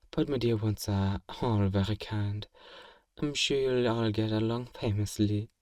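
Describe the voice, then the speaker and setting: A man playing a kind, possessive vampire master in an intimate ASMR roleplay, speaking in a gentle, genteel, coaxing and doting way. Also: on the low side, dry recording, studio quality, lightly acted. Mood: warm, reassuring. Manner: soothing, coaxing, politely doting.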